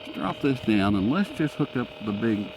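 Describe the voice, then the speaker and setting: A man with a fairly low voice talks through a hands-on demonstration. He is folksy and informal, thinking aloud as he goes. Some faint noise sits behind him. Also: animated, moderate pace. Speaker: radio reviewer and host. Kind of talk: casual hands-on gadget demonstration. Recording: clear static and radio noise behind.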